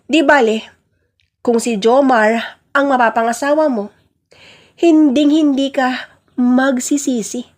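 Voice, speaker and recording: Stern patriarch played by a woman, high voice, commanding and insistent, for a radio-style family drama. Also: steady tone, slow pace, long pauses, darkish tone. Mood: stern, threatening. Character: domineering, angry father, stern head of the family.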